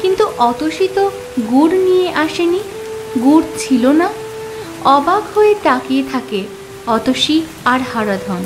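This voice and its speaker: A woman's voice: sits high, animated, a little slow, pauses often, music in the background. A woman narrating a scripted moral story for children.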